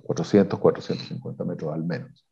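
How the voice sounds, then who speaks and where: A man, a mining exploration executive, giving measured technical answers in an interview, pausing often with fillers and restarts. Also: deep voice, fairly even intonation, gravelly, warm tone, lo-fi sound. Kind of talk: interview answers on a video call.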